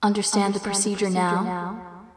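A woman playing a cold, calculating alien invader in a radio play, with a deliberate manner. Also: medium pitch, flat delivery, close to an everyday voice. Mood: cold, smug. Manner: slow, calculating, sometimes whispery. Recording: echoey long reverb, crowd behind.

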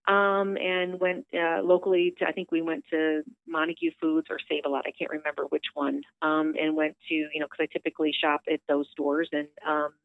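A woman with a low voice, talking chattily on a phone call into a radio show, upbeat, with lots of fillers. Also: level tone, brisk, gravelly, few pauses, dry recording. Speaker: theater director calling in. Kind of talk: casual phone interview. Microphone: telephone. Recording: tinny phone line.

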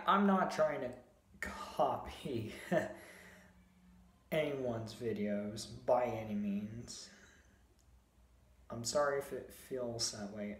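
Young man, hoarse sore throat, very slow hesitant talk, roomy hotel echo. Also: medium pitch. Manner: hesitant, many fillers and restarts, slow. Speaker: video host. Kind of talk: casual vlog chat. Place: hotel room, indoors. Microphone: phone camera at arm's length. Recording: echoey small room.

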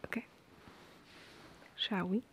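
A woman speaking in a soft, breathy, often whispered voice, gentle and intimate, in an ASMR roleplay style. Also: takes it slowly, slight background noise. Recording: band-limited, phone-like sound.

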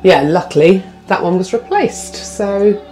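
A woman's voice: medium pitch, animated, unhurried, dark tone, faint music behind. A woman in her forties, vlogging casually to camera from inside a boat, chatty with frequent ums. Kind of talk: casual vlog talk to camera. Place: inside a narrowboat, small room. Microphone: phone camera at arm's length.

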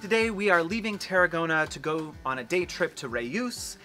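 A man's voice: a little high, animated, normal speed, music in the background. A man in his forties hosting a casual family travel vlog outdoors, chatty and rambling, joking and exaggerating. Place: outdoors on a patio.

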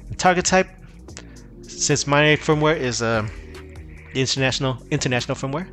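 A man's voice: medium pitch, normal speed, fairly bright tone, soft background music. A man casually walking viewers through a phone repair tutorial, loose and rambling with frequent restarts. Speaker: tech tutorial host. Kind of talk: casual screen-recorded tutorial.

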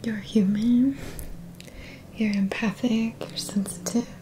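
A woman in her thirties whispers gentle, soothing affirmations as an ASMR energy healer, in a soft, breathy voice close to the mic. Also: fairly high pitch, steady tone, a little hiss or hum. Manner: gentle, slow, deliberate phrasing with pauses. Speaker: ASMR energy healing practitioner. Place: small dim indoor room. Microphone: close mic, seated near the camera.

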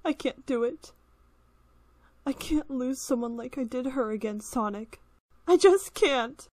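A woman's voice: sits high, unhurried, darkish tone, no room sound. A woman voicing a brooding, stern male cartoon hedgehog, intense and pained, in an amateur comic dub. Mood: pained, vulnerable. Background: background music.

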